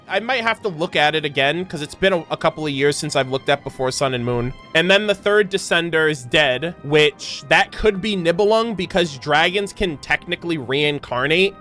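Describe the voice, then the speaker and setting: A man's voice: high voice, fairly bright tone. A man giving casual reaction commentary, thinking aloud in a musing, self-questioning way. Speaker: YouTube reactor and game lore commentator. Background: background music.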